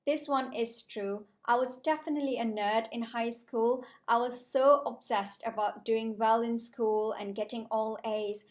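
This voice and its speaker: A young woman from Malaysia speaks straight to her viewers in a vlog, her voice sitting a little high. She talks in a measured, careful way, as if working through prepared notes. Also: steady tone. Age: 20s. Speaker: vlogger, junior doctor. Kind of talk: scripted-sounding casual vlog talk to camera.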